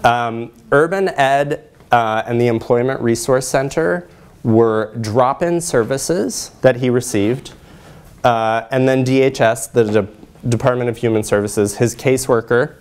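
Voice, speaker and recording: A man in his thirties giving a research presentation in a lecture hall, explaining in a measured way. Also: mid-range voice, clean tone.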